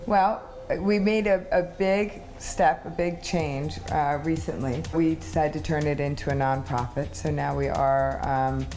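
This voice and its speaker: A woman with a low voice talks casually about her art residency and keeps a loose, thoughtful flow. Soft music plays underneath. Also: fairly even intonation, unhurried, few pauses. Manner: conversational, reflective, uses fillers like you know. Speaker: residency co-founder, art collector. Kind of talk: casual interview talk.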